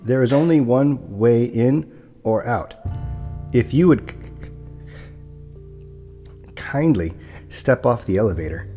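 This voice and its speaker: An actor playing a formal, deferential property broker who stutters, in an audio drama. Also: male voice, mid-range voice, expressive, slow, long pauses, mellow, soft background music. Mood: polite, halting. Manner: formal, precise, apologetic.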